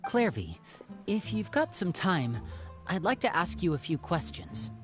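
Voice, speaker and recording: A man's voice: high-pitched, wildly swooping pitch, measured pace, music in the background, heavily acted. A man voicing a polished, theatrical young brother who leads and schemes in a video game. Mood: composed. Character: theatrical, smooth-talking young brother, ringleader, composed schemer.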